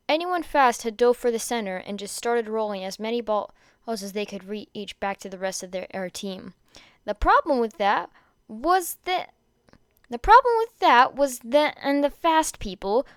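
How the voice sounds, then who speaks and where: A woman's voice: fairly high pitch, clean tone, dry recording, studio quality. A woman reading fan fiction aloud as an amateur narrator, often stumbling and rereading phrases. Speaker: podfic reader.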